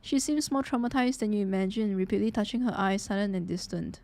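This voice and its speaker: A woman live-reacting to a game story, expressive, emotionally invested and slangy, sometimes reading narration aloud. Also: medium pitch, fast talker.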